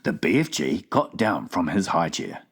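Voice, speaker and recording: A woman's voice: extremely low pitch, big pitch swings, unhurried, breathy edge. A woman narrating a children's audiobook in a dramatized storytelling style.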